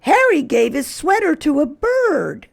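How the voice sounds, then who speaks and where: An excited young storybook child, acted out by the reader, speaks in a very high, wide-eyed voice. The words are stretched and swoop up eagerly. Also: measured pace, creaky, exaggerated voice.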